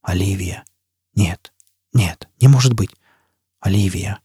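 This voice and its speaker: A man playing an embittered, vengeful man with a husky voice. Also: medium pitch, normal speed, mellow, dry recording, close to an everyday voice. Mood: stunned despair. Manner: harsh, commanding, embittered. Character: enraged, vengeful, desperate man, brutal and demanding. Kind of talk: audiobook character acting.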